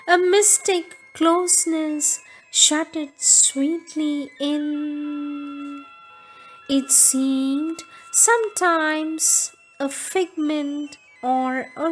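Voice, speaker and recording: A woman reciting spiritual poetry solemnly, in measured, deliberate phrases with frequent pauses, as a reader of verse. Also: high-pitched, poor audio. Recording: music under the voice. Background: background music.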